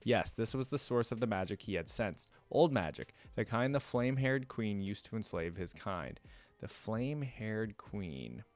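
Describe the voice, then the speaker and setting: A man's voice: fairly low pitch, lively intonation, a little slow, dry recording. A man hosting a reaction video, reading lore aloud and musing over it in casual commentary. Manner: musing, thinking out loud.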